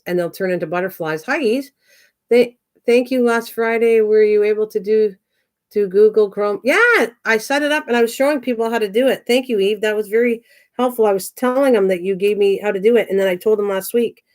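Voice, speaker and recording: A woman's voice: mid-range voice, a little fast, a little mellow. A woman of sixty, hosting her craft channel and chatting casually with viewers, rambling and answering them by name. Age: 60s. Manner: chatty, rambling, talks back to chat by name. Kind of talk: casual livestream chat reading viewer comments.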